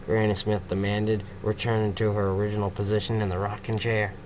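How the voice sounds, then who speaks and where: A man's voice: fairly low pitch, level tone, brisk. A man reading a first-person story aloud in a casual way, breaking off to comment, on a hissy home mic. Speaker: fanfiction reader.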